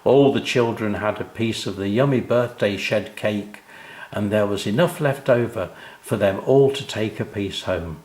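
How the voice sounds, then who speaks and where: An older man narrating a children's story, unhurried and expressive, speaking directly to young listeners. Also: lowish voice, reverberant.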